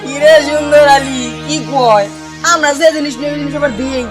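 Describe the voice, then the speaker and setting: A man's voice: very high-pitched, steady tone, fairly quick, over loud music. A man with a Bangladeshi accent playing a menacing, swaggering young gang boss who scolds in colloquial speech in a short-film drama. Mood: bullying, scornful.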